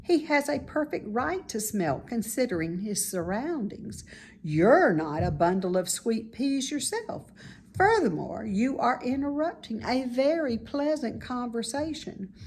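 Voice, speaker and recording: A woman playing a wise, calm, self-assured female spider in a children's storybook, speaking in a measured, instructive way. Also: fairly high pitch, a little hiss or hum, pitched above the performer's own voice. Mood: sharp, protective. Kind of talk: children's storybook read-aloud.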